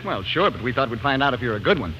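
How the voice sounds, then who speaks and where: Male announcer for 1940s radio variety. Lively, swooping intonation, band-limited old broadcast sound. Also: medium pitch, very fast, lo-fi sound, a little hiss or hum. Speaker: announcer and cast regular of a radio show.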